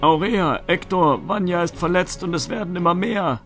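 A man's voice: high voice, normal speed, fairly bright tone, soft background music. A man playing an embattled, alarmed knight in a fantasy audio drama.

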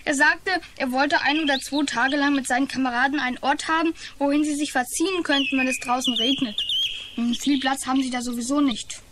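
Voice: high voice, fairly even intonation, a little fast, close to an everyday voice. A performer playing a naive, secretive schoolboy with a hesitant manner in a children's radio play. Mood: defensive. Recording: noisy radio play with birdsong.

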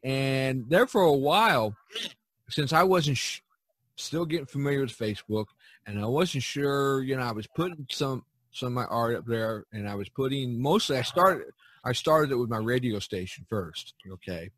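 A man hosting a casual chat, telling anecdotes in a rambling, halting way. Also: medium pitch, expressive, a bit bright, dry recording. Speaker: podcast host.

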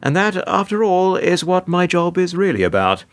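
Male actor as put-upon hotel receptionist for radio drama: resigned, wordy, lively intonation, dry close sound. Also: sits high, moderate pace, no echo. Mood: weary. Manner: wry, resigned, long-winded and formal. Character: world-weary, put-upon male hotel receptionist narrating his troubles. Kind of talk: radio play monologue and dialogue.

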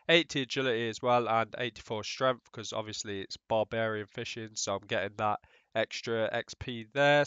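A man's voice: mid-range voice, fairly bright tone, clean tone, no echo, highs cut off. Nasal young man with a cold. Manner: rambling, many ums and restarts, swears casually. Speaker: gaming YouTuber. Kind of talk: casual gameplay commentary.

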